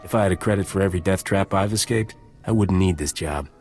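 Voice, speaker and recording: An actor playing a wry, cocky, good-hearted space smuggler in a video game, talking casually. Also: male voice, deep voice, fast, darkish tone, faint music behind, lightly acted. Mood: dry, confident. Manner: wry, casual, laid-back.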